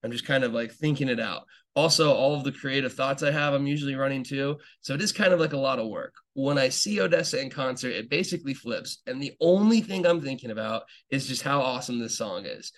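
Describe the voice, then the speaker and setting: A man's voice: mid-range voice, animated, a little fast, hardly any pauses. A young man hosting a podcast, talking in a casual, rambling monologue full of fillers and restarts, with an enthusiastic fan's tone. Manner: enthusiastic fan talk. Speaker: podcast host.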